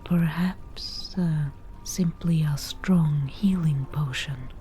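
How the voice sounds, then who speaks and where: Woman playing a slow whispering forest witch. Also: deep voice. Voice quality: soft breathy whisper. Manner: slow, unhurried, dryly teasing. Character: forest witch, wry, bargaining potion brewer. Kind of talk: whispered ASMR fantasy roleplay.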